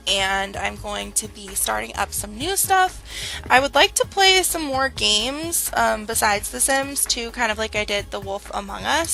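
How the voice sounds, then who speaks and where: A young woman chatting casually over a game build, a little hoarse from a cold, with lots of fillers. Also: fairly high pitch, pushed and bright, low-quality recording. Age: young adult. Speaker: Sims builder and channel host. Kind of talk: casual speed-build commentary.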